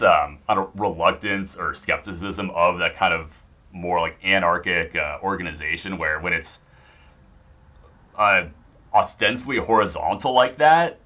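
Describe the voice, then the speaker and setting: A man's voice: low voice, pushed and bright. A man talking casually as a podcast guest, rambling and hedging as he goes, often saying like.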